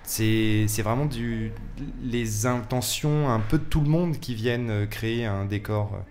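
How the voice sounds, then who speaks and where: A man's voice: fairly low pitch, a little slow, darkish tone. A man speaking as a guest in a casual radio interview, explaining things and often restarting or repeating words. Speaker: film set decorator, radio guest. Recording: music playing behind the voice. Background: music.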